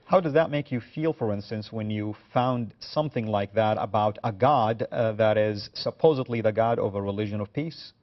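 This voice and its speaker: A man's voice: lowish voice, moderate pace, few pauses, dull audio. Hesitant male talk show host. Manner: hesitant, many "uh" fillers. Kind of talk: studio talk show discussion.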